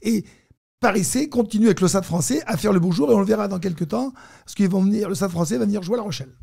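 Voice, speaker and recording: A man's voice: sits high, lively intonation, fast, creaky, dark tone. A man discussing sport on the radio as a pundit, wordy and often rephrasing himself.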